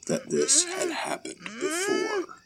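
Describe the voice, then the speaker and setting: A man narrating a scripted horror story in a measured, ominous manner. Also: very high voice, wildly swooping pitch, fairly bright tone.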